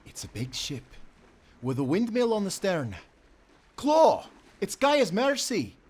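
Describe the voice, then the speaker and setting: An actor playing a loyal, good-natured sailor in a nautical audio adventure, speaking earnestly with friendly seafaring phrasing. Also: male voice, high voice, huge pitch swings, takes it slowly, a little mellow, husky, heavily acted. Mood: surprised, excited. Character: young-hearted, loyal, good-natured sailor and former timberman. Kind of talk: cinematic nautical audio roleplay acting.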